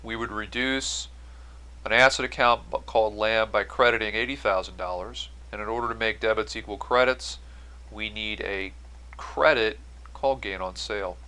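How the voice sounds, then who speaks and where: A man's voice: on the low side, steady tone, moderate pace, sharp tone. A man giving an explanatory accounting-style lecture as an instructor, going step by step and stressing key phrases. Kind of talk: explanatory lecture over on-screen notes.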